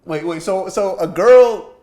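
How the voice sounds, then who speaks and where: A man's voice: high-pitched, measured pace, mellow. A man hosting a casual interview, asking colloquial, probing follow-up questions. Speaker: interviewer, host. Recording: echoey room.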